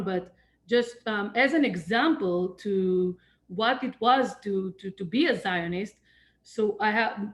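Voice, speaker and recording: A woman from Israel with an Israeli accent, giving an online talk as a visiting speaker, pausing often with fillers and restarts over a video call. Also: mid-range voice. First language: Hebrew. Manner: hesitant, many fillers and restarts. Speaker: guest speaker, nonprofit emissary.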